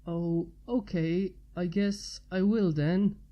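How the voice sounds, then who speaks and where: A woman voicing a shy, polite male pyromancer with quiet, hesitant speech in a storybook reading. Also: on the low side, fairly even intonation, slow pace, muffled recording.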